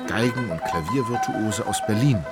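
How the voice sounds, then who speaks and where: A man delivers scripted literary narration for a radio play. His voice is fairly low, measured and formal. Loud music and effects run underneath.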